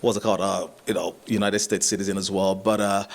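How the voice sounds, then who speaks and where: A man from Nigeria, a musician and actor, chatting in an interview, relaxed and chuckling, with many fillers and restarts. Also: low voice, fast, vocal fry. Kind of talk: casual interview chat.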